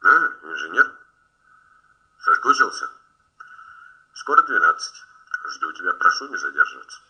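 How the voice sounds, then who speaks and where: Forceful, sharp-voiced doctor. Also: male voice, deep voice, sing-song, moderate pace, exaggerated voice, rough recording. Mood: guarded. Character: forceful male doctor and professor, protective old friend. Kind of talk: Soviet radio play acting, 1970.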